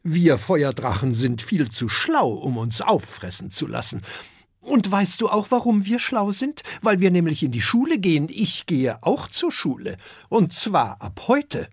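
A man voicing a small, excited boy dragon who is brave and quick to talk back, in a high, eager, childlike voice. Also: gravelly, slightly rough, dry recording, clean studio sound, heavily acted. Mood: cheeky.

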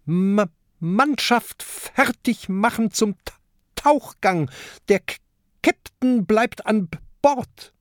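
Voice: mid-range voice, lively intonation, slow pace, dry recording, clean studio sound, voice pushed up. A narrator voicing a proud but timid male submarine captain who stutters on the first sounds of words, in a storybook reading. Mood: instructive. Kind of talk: storybook character voice.

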